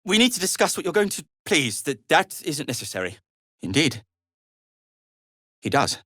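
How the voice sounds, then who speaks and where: An actor playing an anxious, devoted gnome inventor in a video game, speaking in a hesitant, politely formal way. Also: male voice, on the high side, big pitch swings, moderate pace, sharp tone, no room sound, clean studio sound, exaggerated voice. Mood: hesitant, placating. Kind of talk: video game character acting.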